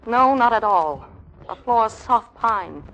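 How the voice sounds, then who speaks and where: An actress playing a quick-witted young woman posing as an officer's wife, in theatrical 1940s radio comedy style. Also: fairly high pitch, expressive, a bit bright, heavily acted, rough recording. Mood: indignant, wry.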